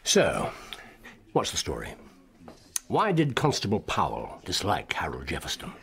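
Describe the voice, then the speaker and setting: A man with an English accent acting in a radio drama as a brilliant, unrepentant detective, speaking briskly and articulately. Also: deep voice, wildly swooping pitch, gravelly, a bit bright, heavily acted. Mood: brisk, intrigued. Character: brilliant, unrepentant, self-assured male detective.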